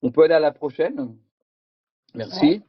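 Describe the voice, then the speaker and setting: A man's voice: on the high side, animated, moderate pace, husky, dry recording. Man, middle-aged, from France, conference presenter, hesitant, dry close sound, slightly dull. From France, Picardy. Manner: hesitant, many fillers and repeated words.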